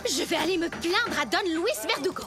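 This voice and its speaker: A woman's voice: extremely high pitch, animated, brisk. An actress playing a strong-willed, principled young lady in a dubbed cartoon, speaking with indignant reproach.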